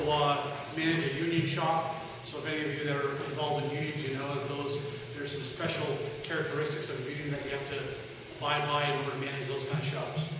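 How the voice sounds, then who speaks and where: A middle-aged man with a loud voice, giving an earnest, plain-spoken candidate's speech with many ums and uhs. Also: on the high side, noisy background. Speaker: political candidate. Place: large hall at a town meeting. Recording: echoey hall recorded from far off.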